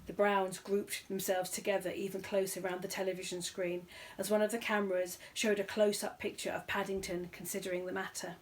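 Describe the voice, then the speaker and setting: A woman reading a children's story aloud in an expressive narrating style. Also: medium pitch, brisk. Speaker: story reader.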